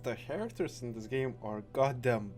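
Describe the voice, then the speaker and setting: A man doing casual gameplay commentary, halting and repeating words, with frequent swearing. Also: mid-range voice, lively intonation, darkish tone, faint music behind. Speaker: gaming video host.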